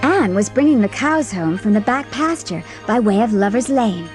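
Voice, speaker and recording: A woman with a husky voice narrating a storybook in a scripted audiobook style. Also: on the high side, animated, moderate pace, music in the background.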